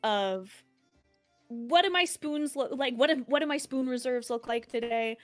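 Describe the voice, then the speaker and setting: A woman streamer with a deeper voice for a woman, chatting casually as a guest in an interview, rambling and laughing often. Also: unhurried, faint music behind.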